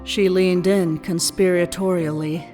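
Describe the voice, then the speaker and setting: A woman narrating a scripted fan fiction story in a measured storybook style. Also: fairly low pitch, fairly even intonation. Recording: long reverb, music behind.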